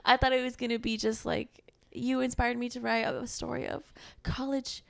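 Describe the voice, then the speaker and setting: A woman's voice: a little high, flat delivery, moderate pace, vocal fry, a bit bright, no echo. A woman co-hosting a book podcast, chatting casually and candidly in informal, slangy language. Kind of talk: casual book discussion chat.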